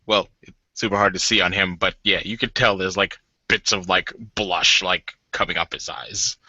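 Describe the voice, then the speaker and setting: A man casually narrating his character's reactions in a tabletop roleplaying game, improvising in a giggly, animated way. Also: low voice, moderate pace, breathy edge, no room sound, lo-fi sound.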